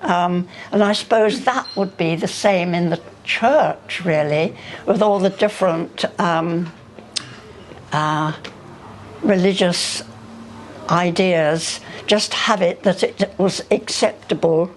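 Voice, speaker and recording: A woman's voice: lowish voice, slow pace, slight background noise. An older woman speaking unscripted at a live talk, hesitant and often searching for her words. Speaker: speaker at a public conversation. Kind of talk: unscripted talk answering questions.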